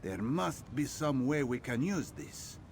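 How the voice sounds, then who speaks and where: An actor playing a sly, thoughtful cat-folk scout in a video game, theatrical and musing in manner. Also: male voice, medium pitch, lively intonation, measured pace, a little mellow, slight background noise. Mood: intrigued. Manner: theatrical, musing, refers to himself in third person. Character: sly, thoughtful cat-folk male scout, speaks of himself in third person. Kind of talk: video game character dialogue.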